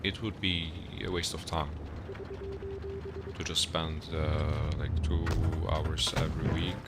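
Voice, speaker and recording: A young man from Poland with a Polish accent, a native Polish speaker chatting and commentating casually as a game live streamer in a rambling, halting way. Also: a bass voice, little pitch movement. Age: young adult. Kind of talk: casual gaming commentary and chat. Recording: noisy game battle sounds behind voice. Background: artillery fire and vehicle game sounds.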